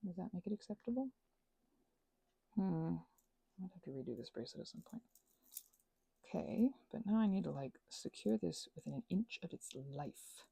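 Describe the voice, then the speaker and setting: A woman with a heavily creaky, husky voice rambles casually in a playful ASMR style. Her pitch swings around as she interrupts herself with asides. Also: lively intonation, moderate pace, long pauses, warm tone, dry recording. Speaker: ASMR and audio creator.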